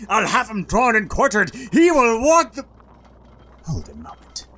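A man's voice: high-pitched, wildly swooping pitch, unhurried, pushed and bright, exaggerated voice. A man playing a blustering cartoon pirate captain. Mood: pompous. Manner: pompous, pirate slang. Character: blustering male pirate ship captain, short-tempered.

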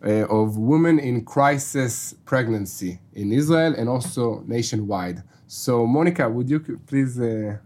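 A man's voice: medium pitch, measured pace, few pauses, a little mellow. A man hosting a faith podcast, asking friendly questions with frequent fillers. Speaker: podcast host. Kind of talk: casual interview hosting.

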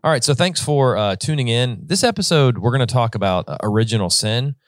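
A man co-hosting a podcast, chatting in a relaxed way with plenty of fillers. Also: mid-range voice, a little fast, no room sound, clean studio sound, faint music behind. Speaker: podcast co-host. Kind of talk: casual podcast chat.

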